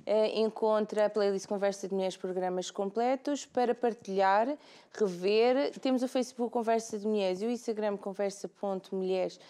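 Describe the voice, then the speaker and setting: A woman co-hosting a talk show, presenting the segments in a brisk, announcer-like way. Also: mid-range voice, fairly even intonation, mellow, clear, resonant tone. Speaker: co-host.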